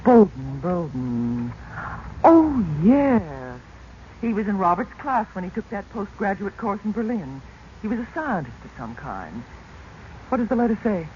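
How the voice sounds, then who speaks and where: A woman's voice: medium pitch, big pitch swings, a little slow, dark tone, slight background noise. An actress playing an anxious middle-aged mother of two teenagers in 1940s radio drama style, on a dull recording. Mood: puzzled, curious.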